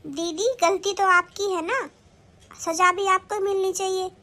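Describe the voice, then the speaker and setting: A woman's voice: extremely high pitch, fairly even intonation, unhurried, pushed and bright, full character voice. A woman acting a sly, newly married young daughter-in-law who coaxes and pleads in a family skit. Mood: pleading. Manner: coaxing, plotting, pleading.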